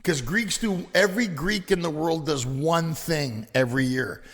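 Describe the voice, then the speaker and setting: A middle-aged man, a comedian telling stories in an animated, casual chat and swearing now and then. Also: a little high, normal speed, in a large hall. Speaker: comedian guest.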